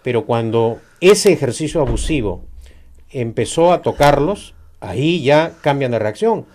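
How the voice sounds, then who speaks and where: Brief: middle-aged Peruvian man, emphatic political guest, lively intonation, hesitant fillers. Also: mid-range voice, a little slow. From Peru. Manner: emphatic, argumentative, many hesitations. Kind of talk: political interview.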